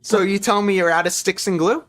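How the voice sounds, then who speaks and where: A man's voice: high-pitched, fairly even intonation, brisk, fairly bright tone, slight background noise. A middle-aged man hosting a hobby livestream, chatting casually and teasing as he works at his bench. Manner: teasing, joking. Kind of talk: casual chat while building model kits. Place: at a hobby workbench on a group video call.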